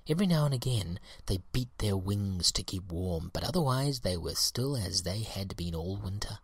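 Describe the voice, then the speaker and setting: A man reading a children's tale as a storyteller, expressive and measured, with a husky, breathy voice. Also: on the low side, no room sound. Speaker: storybook reader.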